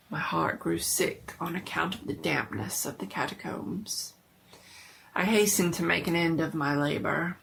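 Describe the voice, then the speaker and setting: A woman giving a dramatic reading of a horror story, theatrical and deliberate, often dropping to a hush. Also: low voice, creaky, a little hiss or hum.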